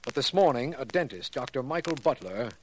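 Earnest, courteous public prosecutor played by a man, 1940s radio mystery. Medium pitch, formal delivery, faintly noisy vintage recording. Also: lively intonation, natural voice. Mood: serious. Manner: formal, measured explanation. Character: earnest, cordial male district attorney.

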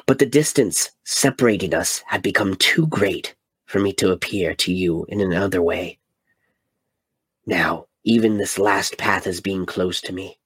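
Wise old jedi guy, slow and grave, husky low voice as a man reads him. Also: no room sound, full character voice. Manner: low, quiet, slow and solemn delivery.

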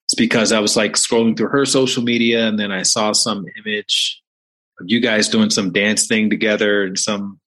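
A man's voice: on the low side, little pitch movement, moderate pace, a bit bright, low-quality recording. A man hosting a podcast, introducing his guest with enthusiasm and then interviewing him. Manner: enthusiastic, upbeat. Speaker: podcast host.

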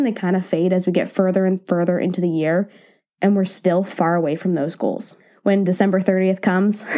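A woman's voice: mid-range voice, warm tone. A woman host with a cold, slightly nasal and stuffed up, chatting casually and encouragingly on her podcast from her home office. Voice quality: a little nasal. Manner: chatty, encouraging, self-deprecating. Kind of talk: casual solo podcast talk. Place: home office, sitting on the floor.

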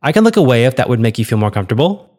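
A man's voice: medium pitch, very animated, rapid-fire, a little mellow, lightly acted. A man voicing a cool, controlling female corporate interviewer in a read-aloud story. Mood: cool, commanding. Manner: clipped, commanding.

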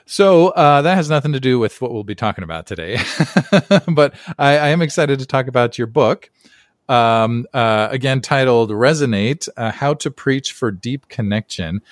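A man's voice: medium pitch, animated, moderate pace, no echo, studio quality. A man hosting a podcast interview, chatty and friendly, with frequent fillers. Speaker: podcast host. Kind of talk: casual interview chat.

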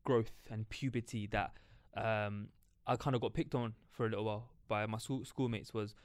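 A man's voice: fairly low pitch, steady tone, normal speed, no room sound, studio quality. A man, musing at length in casual chat, with many fillers. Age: 20s. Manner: rambling, reflective, many fillers.

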